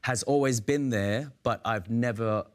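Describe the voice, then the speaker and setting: An Australian man, an actor on a roundtable, speaking in a searching, halting way with many restarts. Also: mid-range voice. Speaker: actor guest. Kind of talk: roundtable conversation.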